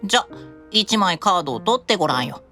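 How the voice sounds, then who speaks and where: Stubborn, earnest angel boy, voiced by a woman, fairly low for that, very animated, theatrical childlike delivery, anime radio drama. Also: quick pace, over music, close to an everyday voice. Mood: dramatic. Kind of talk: live anime-style audio drama acting.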